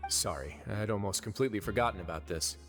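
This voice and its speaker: An actor playing a composed, wry duke who runs a prison fortress, speaking in a measured, suave way for a video game. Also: male voice, fairly low pitch, over loud music, lightly acted. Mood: matter-of-fact.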